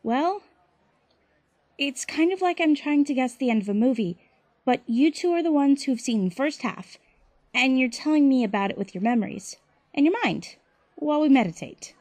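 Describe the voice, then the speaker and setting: A woman playing a gentle, patient young woman who explains things softly in an audio drama. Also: high-pitched, lively intonation, normal speed, no room sound. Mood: gentle, explanatory.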